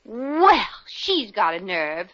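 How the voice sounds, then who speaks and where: An actress playing a brash, fast-talking young heroine in a 1950s radio comedy. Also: female voice, medium pitch, big pitch swings, fairly bright tone, no room sound. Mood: evasive. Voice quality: brash, wisecracking. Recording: dull lo-fi old radio transcription.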